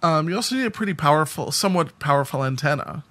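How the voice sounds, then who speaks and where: Higher-pitched American man chatting on a podcast. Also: animated, fast, pushed and bright. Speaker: podcast co-host. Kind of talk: casual podcast chat.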